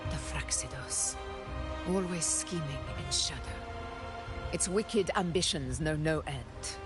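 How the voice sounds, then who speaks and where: An actress playing a stately, battle-hardened commander in a video game, speaking in a formal, solemn and deliberate manner. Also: female voice, deep voice. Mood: grim. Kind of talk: dramatic video game dialogue. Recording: game music behind the voice.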